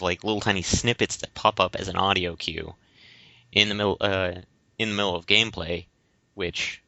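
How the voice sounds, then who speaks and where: Male podcast co-host, lowish forceful voice, rambles and halts through casual explanations on a close microphone. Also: gravelly, no echo. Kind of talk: casual discussion. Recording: dull, lo-fi voice recording.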